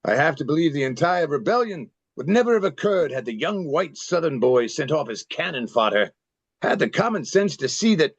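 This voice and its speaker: A man's voice: a little high, gravelly, slightly rough, no room sound, exaggerated voice. A man playing an ailing old general in a historical play reading, dry and formal in manner, on a slightly dull recording.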